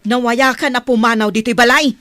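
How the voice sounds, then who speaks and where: A woman's voice: a little high, steady tone, brisk, pushed and bright, no echo. A woman playing a stern, commanding mother in a radio drama, scolding and resentful. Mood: bossy, stern. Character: harsh, bossy mother resentful of her adopted son.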